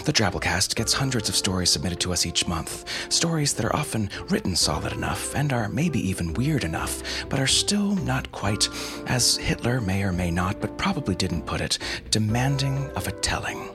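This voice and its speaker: A man's voice: lowish voice, big pitch swings, moderate pace, over music. A man hosting a podcast, reading scripted narration in a wry, tongue-in-cheek way. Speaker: podcast host.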